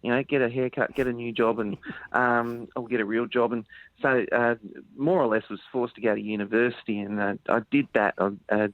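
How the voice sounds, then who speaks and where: A man's voice: medium pitch, fairly even intonation, normal speed, dry recording. A man from Sydney with an Australian accent, a country musician talking in a rambling, hesitant way full of ums. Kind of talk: phone-in radio interview. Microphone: phone line. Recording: tinny phone line, dry.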